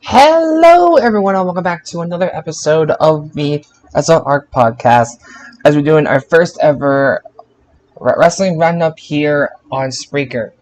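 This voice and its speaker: A man hosting a podcast roundup, halting and often restarting his phrases. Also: fairly high pitch, darkish tone, rough recording. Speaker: podcast host.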